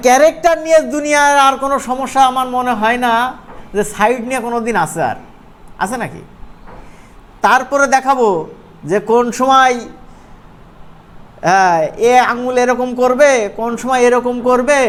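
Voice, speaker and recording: A man's voice: very high-pitched, fairly bright tone. Bangladeshi man teaching, noisy recording. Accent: Bangladeshi regional Bengali. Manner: conversational, often asks check-back questions. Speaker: animation course instructor. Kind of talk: informal software tutorial to students. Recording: noisy room, clearly audible background noise.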